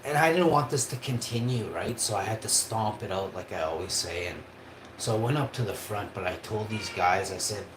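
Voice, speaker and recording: A man's voice: lowish voice, fairly quick, echoey room, background noise. A man, telling a rambling personal story on a livestream in a casual, chatty way. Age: 40s. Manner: casual and chatty, with frequent tag questions and restarts.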